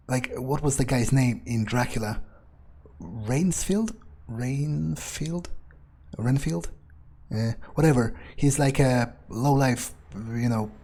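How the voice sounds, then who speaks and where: A man's voice: medium pitch, mellow, breathy edge. A man voicing a cynical, scornful fledgling vampire in a game playthrough, musing aloud hesitantly and profanely. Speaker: player role-playing in character.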